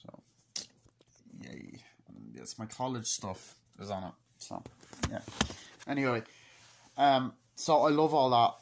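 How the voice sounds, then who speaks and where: A man in his twenties giving a rambling, informal, sweary movie review, excitable and breaking into acted-out snatches of dialogue. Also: mid-range voice, slow, vocal fry, long pauses, a little hiss or hum. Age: 20s. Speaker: amateur film reviewer. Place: small wood-panelled room indoors. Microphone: laptop microphone at close range.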